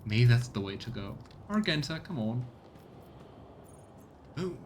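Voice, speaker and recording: A man streaming a role-playing game, chatting casually as he plays and rattling off item descriptions in quick bursts. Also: mid-range voice, big pitch swings, a little mellow, a little hiss or hum. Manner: thinks aloud, reads item text in quick bursts. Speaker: let's play streamer. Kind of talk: casual gameplay commentary.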